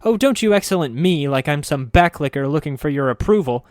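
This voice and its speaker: Guy putting on a bubbly sweet young girl, way high and loud, bouncing all over the place, dry close sound. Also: very animated, a little fast, no room sound. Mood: mock furious. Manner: bubbly, breathless and eager to please. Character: perky, sweet-natured young woman who hates being mean.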